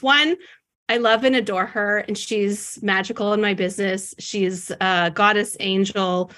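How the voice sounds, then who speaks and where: A woman's voice: on the high side, bright, forceful tone. A woman hosting her podcast, gushing and bubbly, poking fun at herself in casual chat. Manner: bubbly, gushing, self-deprecating. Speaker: podcast host.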